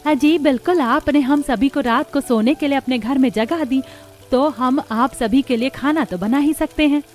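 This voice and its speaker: A woman voicing an excitable, admiring village woman in a cartoon story. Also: high-pitched, moderate pace, soft background music, close to an everyday voice. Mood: delighted.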